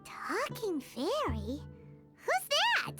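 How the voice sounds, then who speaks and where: A woman voicing a small, childlike, excitable and inquisitive fairy companion in a video game, full of exclamations. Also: very high voice, extremely slow, heavily acted. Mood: puzzled. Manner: refers to self in third person, exclaiming. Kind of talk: animated video game voice acting. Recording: music behind.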